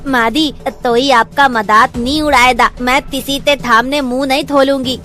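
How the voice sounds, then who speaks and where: A woman's voice: sits high, fairly even intonation, normal speed, sharp tone, faint music behind. A woman voicing a sweet young bride who lisps heavily and talks in childlike baby talk. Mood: sweet, earnest. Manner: innocent, eager to please. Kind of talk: cartoon voice acting.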